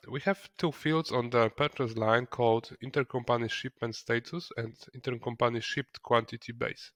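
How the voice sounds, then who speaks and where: Guy doing a software tutorial, kinda hesitant, repeats words, medium pitch, dry sound. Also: dry recording. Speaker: software trainer. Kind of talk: screen-recorded software tutorial, explaining step by step.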